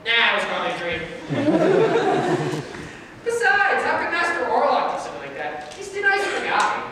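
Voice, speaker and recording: A woman's voice: high voice, moderate pace. A woman playing a dim, lazy, boastful palace guard who rambles and gossips in a stage play reading. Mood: dismissive. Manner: rambling, excitable, forgetful of names. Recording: very echoey hall from far off, noisy.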